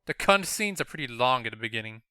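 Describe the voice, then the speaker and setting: A man's voice: mid-range voice, expressive, fast talker, sharp tone, smooth, faint music behind. A man hosting a gameplay video with casual, unscripted commentary in a rambling, explanatory way. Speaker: gaming channel host.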